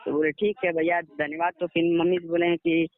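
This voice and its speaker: A man's voice: a little high, level tone, fairly quick, no room sound. A young man, recounting events deferentially and politely over the phone. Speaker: interviewee, aspiring singer. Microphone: phone call. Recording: muffled narrowband phone call recording.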